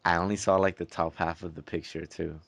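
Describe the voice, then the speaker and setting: A man's voice: sits low, flat delivery, a little fast, gravelly, fairly bright tone, dry recording. A young man chatting casually in emphatic, repetitive slang with plenty of swearing. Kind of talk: casual chat.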